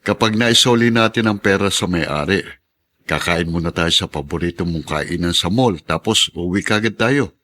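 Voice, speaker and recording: A man's voice: sits low, moderate pace, fairly bright tone. A man in a radio drama playing an honest, kindly taxi driver father who speaks reassuringly. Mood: earnest, reassuring.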